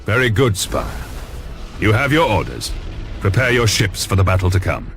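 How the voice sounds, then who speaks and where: An actor playing a grave, commanding Lord Admiral in a video game cutscene, with formal and solemn delivery. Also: male voice, lowish voice, big pitch swings, normal speed. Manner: formal, authoritative, solemn oratory. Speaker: fleet commander. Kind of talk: scripted dramatic game cutscene acting. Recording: music behind the voice.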